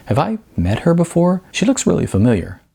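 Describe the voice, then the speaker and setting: An exasperated young actress with a sarcastic edge, as a man puts her on. Her husky voice climbs and dips in a lively way. Her complaints come out sharp and wry. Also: fairly high pitch, brisk, pitched above the performer's own voice, full character voice.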